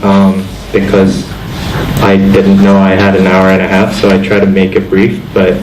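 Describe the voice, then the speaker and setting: Young guy from Indonesia, low voice, humble lecturer, halting delivery; distant mic in an echoey hall. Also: monotone. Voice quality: halting, many fillers and restarts. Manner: self-deprecating and modest. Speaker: guest lecturer. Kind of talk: guest lecture to architecture students. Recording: echoey hall from far off, noisy.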